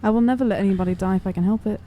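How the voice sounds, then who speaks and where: A woman's voice: medium pitch, rapid-fire, phone-quality sound, slight background noise. A woman talking as a player in a tabletop game, earnest and emphatic in a casual discussion.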